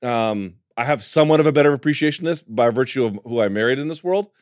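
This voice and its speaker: An emphatic man hosting a sports talk show, leaning hard on his points in a middle-pitched voice. The sound is dry and close, with no room around it. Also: fast, clear, resonant tone, no echo. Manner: animated, emphatic, calls co-host dude. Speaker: podcast host. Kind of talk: casual MMA talk.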